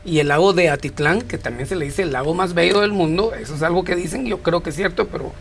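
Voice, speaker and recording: A woman's voice: on the low side, fast talker, vocal fry, a little hiss or hum. A woman co-hosting a talk show, playful and joking. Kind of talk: casual interview chat.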